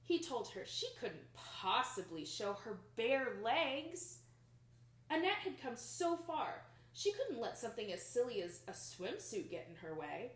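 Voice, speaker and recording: A young adult woman reading a picture book aloud to children in an expressive, emphatic storytelling manner. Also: on the high side, unhurried. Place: small plain indoor room. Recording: roomy indoor echo.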